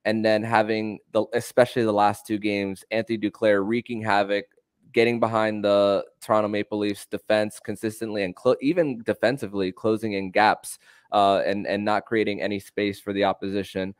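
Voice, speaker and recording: A man hosting a sports podcast, talking in a halting way with repeated and drawn-out words. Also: lowish voice, flat delivery, clean tone, no echo. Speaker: podcast host.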